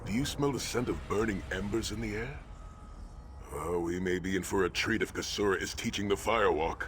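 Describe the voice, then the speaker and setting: A man voices a noble warrior sage for a fantasy video game. His voice sits low, with formal, earnest phrasing and a solemn dignity, over faint ambient noise. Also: fairly quick, natural voice. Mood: calm, wise. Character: solemn, honorable male warrior sage, gently wry.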